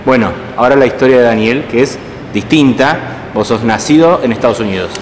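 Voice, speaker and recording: A man with an Argentine Rioplatense accent who interviews his guests in a brisk way, using short prompting questions. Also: mid-range voice, big-room sound, a little hiss or hum, low-quality recording. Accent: Rioplatense Spanish. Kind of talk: journalist interview.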